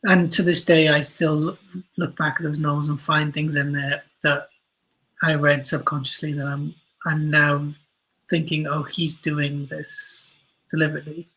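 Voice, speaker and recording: A man's voice: on the high side, flat delivery, moderate pace, pushed and bright, low-quality recording. A man answering casually as a podcast guest, thinking aloud in a hesitant, thoughtful way. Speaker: podcast guest, audio drama writer.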